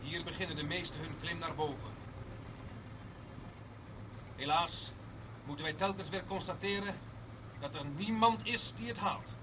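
Man playing a distant, high-voiced tour guide. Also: measured pace, long pauses, natural voice. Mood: official, showman-like. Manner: formal announcing patter. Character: brisk male tour guide showing visitors a strange net. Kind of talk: radio play, guided tour commentary. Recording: distant, noisy old radio recording.

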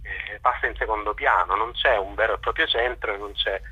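A man being interviewed on radio, explaining his ideas haltingly, searching for words and repeating them, over thin phone-like audio. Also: lowish voice, pushed and bright, breathy edge. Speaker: interview guest, journalist.

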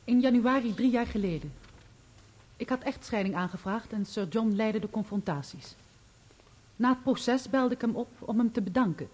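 A composed, loyal woman on the witness stand. Her voice is soft-edged and mellow, and she answers in measured, formal sentences, in radio drama style. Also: a little high, expressive. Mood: composed, factual. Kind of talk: Dutch radio play acting.

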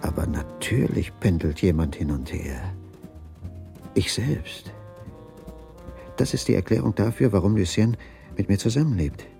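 A man's voice: deep voice, lively intonation, unhurried, vocal fry, pauses often, mellow, soft background music, heavily acted. An actor playing a bewildered, brooding husband in a radio crime drama, questioning and pondering his wife's secret. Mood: brooding, realising. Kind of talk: radio crime drama dialogue and inner monologue.

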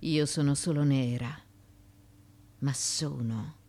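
A woman's voice: rumbling low, slow, warm tone, full character voice. A woman acting in a radio drama as a proud, anguished former slave turned wife in ancient Athens, with an impassioned, theatrical delivery. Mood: bitter, reflective.

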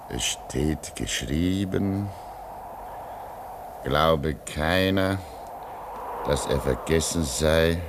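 An actor playing a pious, weary old man at a polar station in a German radio play, speaking solemnly and deliberately. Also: very deep voice, noisy background, exaggerated voice. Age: older.

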